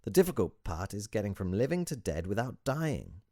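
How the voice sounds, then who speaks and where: A man voicing a mischievous, falsely modest young hermit sage in an audiobook, with a theatrical, gleeful sales-pitch manner. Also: sing-song, normal speed, mellow, dry recording, clean studio sound. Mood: gleeful, insistent.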